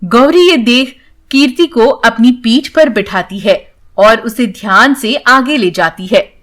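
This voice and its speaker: A woman narrates a children's story in a high-pitched voice. She takes it slowly, delivering scripted lines as the storyteller.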